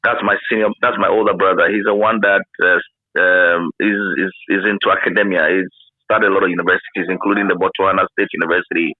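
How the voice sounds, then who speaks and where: A man's voice: deep voice, fairly even intonation, fast talker, dry recording. A man in his fifties with a Ghanaian accent, reminiscing in a casual interview and often repeating phrases for emphasis. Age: 50s. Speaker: interview guest, former radio pioneer. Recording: narrow phone-like line.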